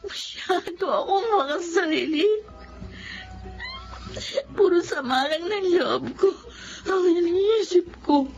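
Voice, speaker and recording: A woman acts out a distraught grown child in a Filipino radio drama, in a very high, pleading voice. The delivery is slow and heavy with guilt and emotion, over faint music. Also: muffled recording, exaggerated voice. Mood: weeping, remorseful.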